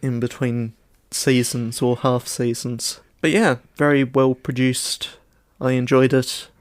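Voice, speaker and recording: A man chatting as a podcast co-host at an unhurried pace. His delivery is dry and a little hesitant, and the sound is close and dry with very little room. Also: mid-range voice, flat delivery, no echo. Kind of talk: casual chat.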